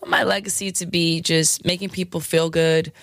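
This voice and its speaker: A woman DJ with a scratchy, hoarse voice, chatting frankly in an interview with lots of fillers and laughs. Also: on the low side, flat delivery, normal speed, dry recording, studio quality. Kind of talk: candid casual interview talk.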